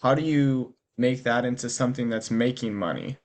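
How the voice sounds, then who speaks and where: A man's voice: medium pitch, normal speed, a little mellow. Chatty male podcast host. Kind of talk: casual interview chat.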